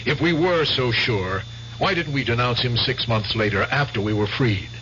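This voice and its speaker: An actor in a radio drama playing an uneasy, law-minded veteran who reasons earnestly and hesitantly. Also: male voice, mid-range voice, normal speed, sharp tone, close to an everyday voice. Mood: anxious, reasoning. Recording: dull, hissy, humming old radio broadcast.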